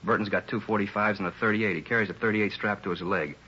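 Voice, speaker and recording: A man's voice: lowish voice, steady tone, fast talker, bright, forceful tone, husky. A man playing a weary, talkative small-time crook in a 1950s radio police drama, with slangy streetwise talk. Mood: slangy, forthcoming. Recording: dull, noisy 1950s radio recording.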